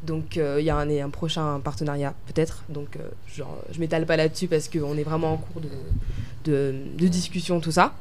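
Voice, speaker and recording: A woman's voice: low voice, flat delivery, fairly quick, slight background noise. A young woman from France answering interview questions as a pageant winner, hesitant and full of fillers and restarts. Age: young adult.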